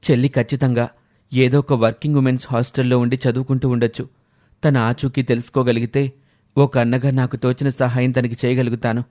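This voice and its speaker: A man's voice: mid-range voice, flat delivery, a little fast, mellow, close to an everyday voice. A man voicing a caring, indignant young son in a storybook tale, earnest and questioning. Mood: worried.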